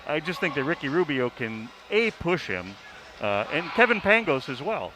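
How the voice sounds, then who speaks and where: A man giving casual sports analysis as a panelist, halting but emphatic, rephrasing his points as he goes. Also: a little high, big pitch swings, low-quality recording. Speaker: sports writer on a broadcast panel. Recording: faint background noise.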